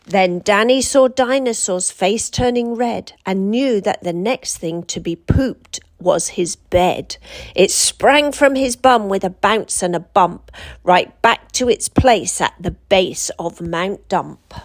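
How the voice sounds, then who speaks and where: A British woman storyteller reading a children's picture book aloud with an expressive, deliberate, dramatic delivery. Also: medium pitch. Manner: deliberate, dramatic rhyming delivery for young listeners.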